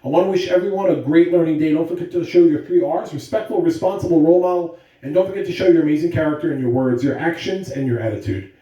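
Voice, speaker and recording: An American man with an upbeat, deliberate, emphatic delivery, giving school announcements and reading aloud to children as a principal. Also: on the high side, mellow, highs cut off. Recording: roomy, distant mic with background noise.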